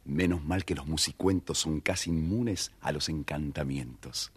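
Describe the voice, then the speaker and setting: A man narrating a children's storybook in an expressive, theatrical way. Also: extremely low pitch, normal speed, breathy edge.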